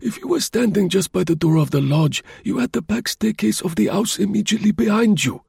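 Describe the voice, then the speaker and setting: A man voicing a very old, frail, meek male concierge with a head cold and a husky, croaking voice. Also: high-pitched, expressive, brisk, darkish tone, no echo, clean studio sound, voice pushed up, exaggerated voice. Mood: coolly explaining. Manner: meek, pleading.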